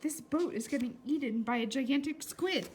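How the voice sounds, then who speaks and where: A woman's voice: high-pitched, moderate pace, darkish tone, a little hiss or hum, pitched above the performer's own voice, lightly acted. A woman voicing an earnest, indignant young girl in a storybook, with emphatic, theatrical exclamations. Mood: dismayed.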